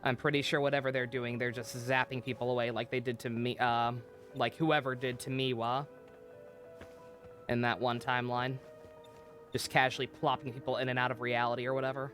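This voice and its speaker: A man's voice: medium pitch, level tone, fairly quick, fairly bright tone, soft background music. A man doing casual live game commentary, rambling and swearing as he thinks aloud. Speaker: video game streamer.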